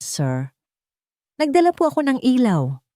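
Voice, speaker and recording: A woman's voice: high-pitched, huge pitch swings, a little slow, dark tone, clean studio sound, pitched above the performer's own voice, exaggerated voice. Narrator lady as a shy polite filipina maid, hesitant, audio love story, no echo. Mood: timid, nervous. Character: timid, deferential young housemaid woman in love.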